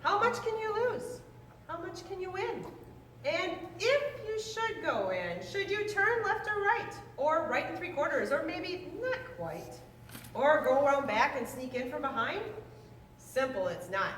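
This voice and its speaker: A woman reading a children's rhyming book aloud in a lively, sing-song way. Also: extremely high pitch, measured pace. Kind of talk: read-aloud storytelling. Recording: roomy, distant mic, faint noise.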